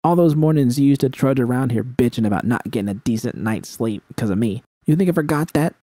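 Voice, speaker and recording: A man voicing a troubled, defensive woman in an audiobook drama, halting and stammering. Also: medium pitch, dark tone, no echo, natural voice. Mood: angry, defensive. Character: defensive, troubled young woman hiding an addiction. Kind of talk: dramatic audiobook character acting.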